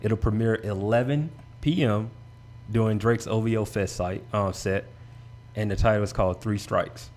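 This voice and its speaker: A man hosting a casual talk show in a slightly low voice, steady and easygoing as he chimes in and reads out updates. Faint ambience sits behind him. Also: moderate pace, a little hiss or hum. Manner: affirming, reads out news updates. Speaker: show host.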